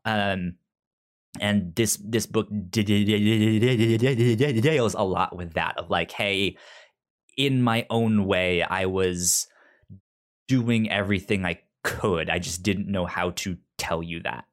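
A man's voice: on the low side, slow pace. A man co-hosting a podcast in casual chat, stuttering heavily on first sounds of words. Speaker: podcast co-host.

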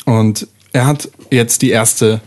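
A man's voice: mid-range voice, fairly even intonation, takes it slowly, a little mellow. Man, mid-range voice, game moderator, casual rule explanations, tabletop storytelling. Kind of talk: explaining rules and casually narrating a tabletop role-playing game.

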